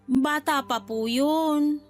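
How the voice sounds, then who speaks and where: Radio drama casting: a meek, caring nanny, fairly high voice, polite and pleading, over music. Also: female voice, slow. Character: gentle, deferential nanny, a caring mother herself. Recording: music bed behind the voice.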